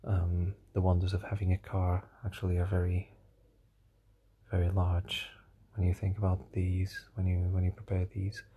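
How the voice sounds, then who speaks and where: A tired young man with a slightly hoarse voice, rambling hesitantly through a casual diary vlog with many fillers and restarts. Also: sits low, completely flat delivery, normal speed, mellow. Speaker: vlogger.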